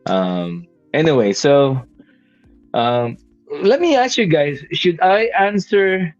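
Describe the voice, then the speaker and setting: A man's voice: fairly high pitch, expressive, takes it slowly, dry recording, faint music behind. Filipino man in his fifties, dull lo-fi. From Philippines. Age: 50s. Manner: hesitant, many fillers and restarts, easy laughs. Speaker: guest, animation artist. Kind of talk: casual interview answers. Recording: dull lo-fi dry sound.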